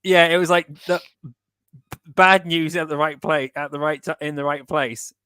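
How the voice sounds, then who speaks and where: British male co-host, casual, stumbles and restarts a lot, slightly high voice, dry sound. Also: steady tone, bright, forceful tone, clear, resonant tone, dry recording. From United Kingdom. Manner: halting, many restarts and repeated words. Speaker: podcast co-host. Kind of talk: casual chat.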